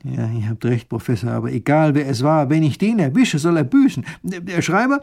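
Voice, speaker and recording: A man's voice: sits high, animated, a little mellow, pitched above the performer's own voice. A man voicing a small, hot-tempered male grand duke who gives orders imperiously. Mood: indignant. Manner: imperious, quick to anger.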